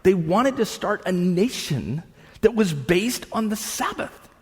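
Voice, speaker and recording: A man in his thirties, a pastor preaching a sermon to a room, conversational with asides, swinging from loud bursts to hushed lines. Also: sits high, big pitch swings, slow, slightly rough. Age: 30s. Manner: conversational with frequent restarts and asides. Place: church hall with an audience. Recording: long reverb of a large hall.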